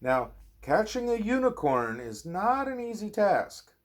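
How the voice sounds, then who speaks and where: A man reading a children's storybook aloud as a father would, with expressive, measured narration and dramatic pauses. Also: sits high.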